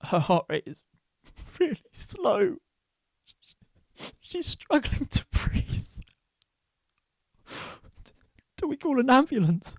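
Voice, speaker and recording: Woman acting a panicked, stammering caller, halting and creaky. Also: high-pitched, very animated, no room sound, full character voice. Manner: stammering, halting, broken speech. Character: panicking distraught person confessing to stabbing a girl. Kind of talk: audio drama acting.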